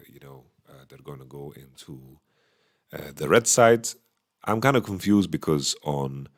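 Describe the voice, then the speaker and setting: A man with a slightly hoarse voice, casually giving a solo esports recap from a small hotel room into a microphone, thinking aloud with many fillers. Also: very deep voice. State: voice going a bit hoarse. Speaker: esports analyst. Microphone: studio microphone.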